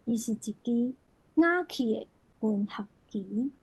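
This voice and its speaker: Female poetry reader, Taiwanese accent, very unhurried, higher pitch, soft dark tone with some huskiness. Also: expressive, husky, no echo, rough recording. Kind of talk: expressive literary recitation.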